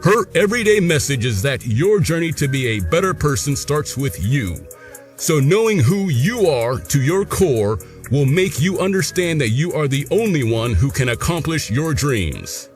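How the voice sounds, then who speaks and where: Male MC, higher-pitched and very expressive, reading a formal speaker introduction over faint music. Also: normal speed. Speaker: event host introducing a speaker.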